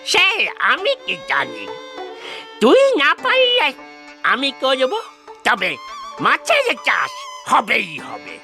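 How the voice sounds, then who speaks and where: A woman voicing a stubborn, crotchety old village father in a cartoon, bossy and scolding with excitable exclamations. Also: high-pitched, slow, long pauses, heavily acted. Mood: bossy, indignant. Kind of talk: animated cartoon dialogue. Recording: music behind the voice.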